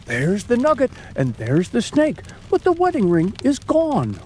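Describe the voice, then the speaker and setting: A man's voice: very high-pitched, wildly swooping pitch, a little slow, darkish tone, a little hiss or hum, pitched above the performer's own voice, exaggerated voice. A man voicing an earnest, deferential household butler in an audiobook drama, speaking with shocked emphasis. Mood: astonished.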